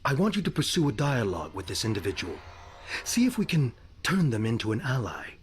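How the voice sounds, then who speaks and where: An actor playing a calm military officer giving a measured, authoritative briefing in game dialogue. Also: male voice, mid-range voice, husky, faint music behind, full character voice.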